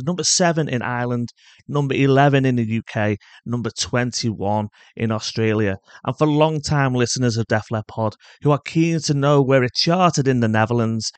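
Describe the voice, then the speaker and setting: A man presents a podcast as its host. He reads scripted narration in a medium-pitched voice. The recording is dry and free of room sound. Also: no echo.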